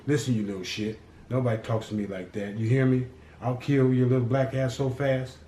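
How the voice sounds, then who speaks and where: A man's voice: mid-range voice, steady tone, a little fast, warm tone, slight background noise, lightly acted. A man voicing a hot-tempered, threatening gang boss who swears freely. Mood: menacing. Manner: threatening, profane, growling. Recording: roomy echo.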